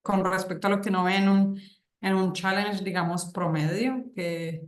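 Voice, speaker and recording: A woman on a thesis jury puts careful academic questions over a video call, pausing often with fillers and restarts. Her voice sits mid-range and sounds a bit roomy. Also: medium pitch, steady tone. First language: Spanish. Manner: hesitant, many fillers and restarts. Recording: echoey room.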